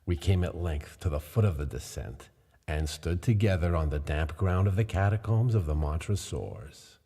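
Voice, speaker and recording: A man narrating a classic gothic tale in a measured, deliberate dramatic reading. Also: deep voice, animated, dark tone, breathy edge, big-room sound.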